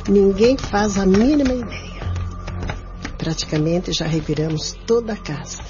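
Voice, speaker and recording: A woman playing a practical, reassuring lady's companion who stays unafraid, in a radio drama. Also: fairly low pitch. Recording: dull radio play recording, loud music.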